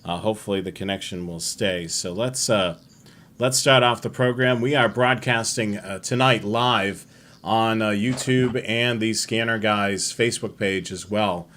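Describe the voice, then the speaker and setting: A middle-aged man hosting a live-streamed hobby show, chatting in a relaxed, rambling way with many fillers. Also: on the low side, unhurried, slight background noise. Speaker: host.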